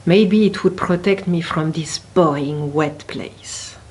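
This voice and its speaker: A woman reading a children's story aloud expressively, with deliberate, dramatic emphasis on key words. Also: fairly low pitch, a little mellow. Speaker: picture book reader at a library.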